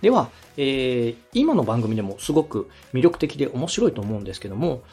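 A man hosting a podcast, politely and methodically giving encouraging production advice in a slightly husky voice. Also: medium pitch, sing-song, a little fast, mellow, faint music behind. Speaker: podcast host and radio director giving feedback.

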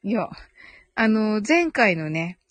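A woman's voice: medium pitch, big pitch swings, slow, no echo. A woman hosting a casual live audio show, chatting with listeners in a giggly way and thinking aloud as she goes.

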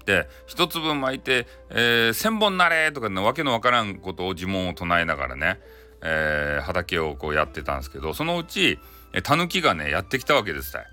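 A man's voice: low-pitched, lively intonation, fast, pushed and bright, soft background music. A man with a Hakata accent telling a bedtime folktale in a casual, chatty, colloquial way. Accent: Hakata, Japan. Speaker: storyteller.

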